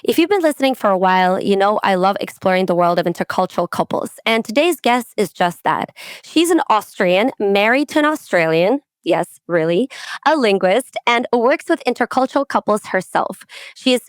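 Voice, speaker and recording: A woman hosting a podcast, introducing her guest and asking friendly, measured questions. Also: medium pitch, animated, smooth, no room sound, clean studio sound. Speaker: podcast host. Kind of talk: podcast interview.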